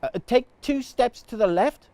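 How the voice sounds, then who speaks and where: A man voicing a shy, excitable female dragon in a children's story. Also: very high-pitched, a little slow, dry recording, pitched above the performer's own voice. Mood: eager.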